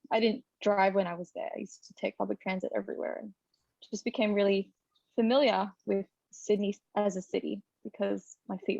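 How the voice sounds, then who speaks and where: A woman from the Philippines, speaking as a conference panelist in a thoughtful, steady way with some fillers. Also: mid-range voice, gravelly, dry recording. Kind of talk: reflective personal talk. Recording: dry muffled lo-fi audio.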